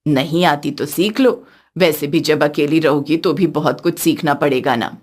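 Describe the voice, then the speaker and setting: A woman's voice: deep voice, moderate pace, darkish tone, voice pushed down. A woman voicing a stern, scolding older mother-in-law in a cartoon story, blunt and commanding.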